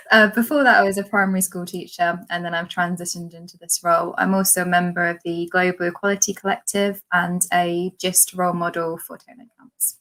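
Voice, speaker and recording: A woman's voice: fairly low pitch, normal speed, a bit bright. A woman guest on an online panel, introducing herself in a light, joking way. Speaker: product manager, guest. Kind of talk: casual online panel chat.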